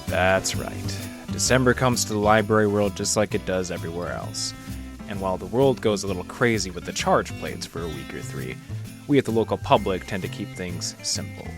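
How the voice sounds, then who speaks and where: An actor playing a world-weary, hard-boiled library detective, narrating his case in a wry, deadpan, mock-tough way. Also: deep voice, moderate pace, close to an everyday voice. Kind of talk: noir radio detective narration. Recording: narration over loud guitar music. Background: guitar music.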